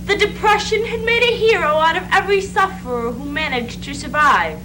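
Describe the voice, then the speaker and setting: Female stage narrator, high and animated, scripted, noisy humming recording. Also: fairly quick. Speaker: readers theatre performer. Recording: stage recording with loud hum.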